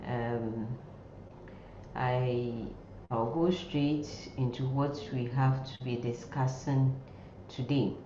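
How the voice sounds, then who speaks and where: A woman giving an informal online nursing lecture in a halting way, often repeating words and phrases. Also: extremely low pitch, steady tone. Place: indoor room, online class. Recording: muffled, roomy sound with faint noise.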